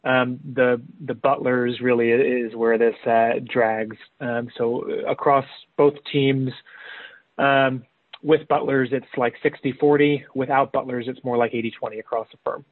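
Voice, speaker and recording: A man's voice: medium pitch, fairly even intonation, measured pace, dry recording. Man, reporting figures, hesitant, lots of uhs, phone-line sound, dry. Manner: hesitant, filled with uh and um. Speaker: team member reporting figures. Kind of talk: business meeting.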